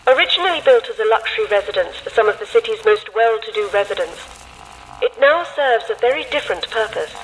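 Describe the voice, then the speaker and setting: A woman's voice: a little high, lightly acted, rough recording. Woman performing mysterious narrator, grave dramatic storytelling, eerie tale, faint background noise. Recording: faint noise, live theatre stage.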